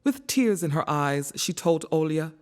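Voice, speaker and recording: A woman narrating a scripted children's storybook in an expressive, measured storytelling manner. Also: low voice.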